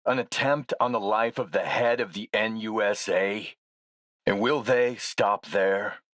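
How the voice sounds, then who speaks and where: A defiant warlord from a video game, speechifying with forceful menace. His voice is fairly low and he takes his words slowly and deliberately. The sound is very dry, with no room around it. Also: steady tone, no room sound. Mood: defiant, ominous. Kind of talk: scripted game voice acting, speech.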